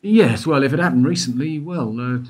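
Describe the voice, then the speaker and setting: A man's voice: medium pitch, moderate pace, darkish tone, husky, close to an everyday voice. An actor playing a persistent male investigator who needles a witness with questions in a radio play. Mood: probing. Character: probing male detective questioning a guard. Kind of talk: amateur radio play acting.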